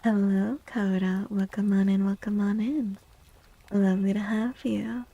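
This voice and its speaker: Soft asmr streamer girl, woman w slow breathy whispery voice, bit creaky, super gentle chatting. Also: medium pitch, little pitch movement, lo-fi sound. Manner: gentle, soothing, repeats phrases softly. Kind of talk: soft-spoken ASMR livestream chat.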